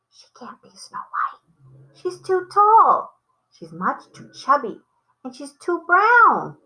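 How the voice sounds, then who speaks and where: A woman reads a picture book aloud for children. Her voice sits a little high and has a bright, forward tone. She phrases each line clearly and leans on key words. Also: rough recording. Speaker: storybook reader for children. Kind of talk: children's read-aloud storytelling.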